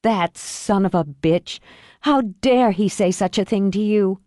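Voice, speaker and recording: A woman's voice: mid-range voice, measured pace, warm tone, dry recording, studio quality, close to an everyday voice. A woman voicing a protective older sister who speaks firmly, with an angry, urgent edge. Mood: outraged.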